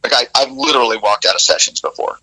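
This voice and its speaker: A man, a rock vocalist, telling studio stories in a candid, animated way in an interview, with casual swearing. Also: medium pitch, fast, low-quality recording.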